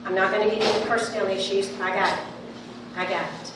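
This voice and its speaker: A woman's voice: medium pitch, flat delivery, fast talker. A woman with a big voice speaking at a town hall meeting, insistent and impassioned, repeating herself to press her point. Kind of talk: public comment at a meeting. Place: large echoey meeting room. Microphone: handheld microphone from a distance. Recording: echoey room, distant mic, background noise.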